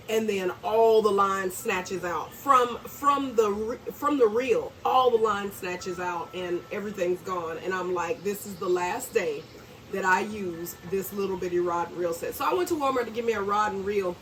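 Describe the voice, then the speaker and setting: A woman, a bit congested and nasal, chatting casually to camera in a rambling, friendly way. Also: medium pitch. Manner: chatty, rambling, warm asides. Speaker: homesteading vlogger. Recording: distant mic, faint background noise.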